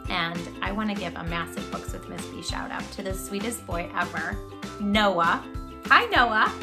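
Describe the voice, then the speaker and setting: A woman's voice: mid-range voice, expressive, normal speed, over music. A woman hosting a children's storytime, reading expressively and talking effusively and directly to her young listeners. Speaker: children's storytime host and reader. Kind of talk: expressive picture-book read-aloud for young children.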